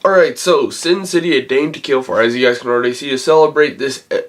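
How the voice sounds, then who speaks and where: A man with a cold gives a casual, rambling film review, halting as he searches for words. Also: medium pitch, faint music behind. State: has a cold. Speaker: movie reviewer and channel host.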